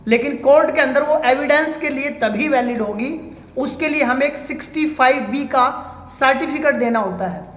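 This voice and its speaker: A man's voice: extremely high pitch, moderate pace, a bit bright. A man speaking as an advocate, giving an informal legal explainer step by step and addressing viewers as friends. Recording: echoey room with long reverb.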